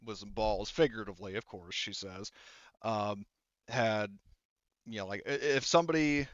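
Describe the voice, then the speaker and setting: A man works as game master in a tabletop game, explaining things off the cuff. His voice is smooth and of medium pitch, but his phrasing is halting and wandering. The recording is close and dry, a little muffled on top. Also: a bit bright, dry recording. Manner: halting, filler-heavy, rambling explanations. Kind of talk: improvised tabletop game narration.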